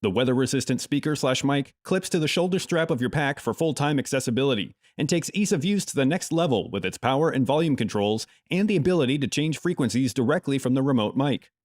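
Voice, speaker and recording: A man reading scripted product review narration in a measured, polished promotional way. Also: a little high, no room sound, studio quality. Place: close, dry voice-over recording.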